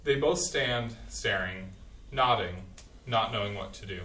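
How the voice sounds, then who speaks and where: A man's voice: fairly low pitch, unhurried, sharp tone. A man plainly reading the stage directions in a staged play reading. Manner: plain, matter-of-fact. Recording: echoey room, distant mic.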